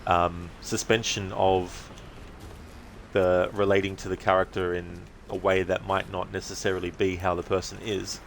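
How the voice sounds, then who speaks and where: A man giving casual, reflective livestream commentary as a game streamer, speaking haltingly in short phrases. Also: deep voice, little pitch movement, vocal fry, slight background noise.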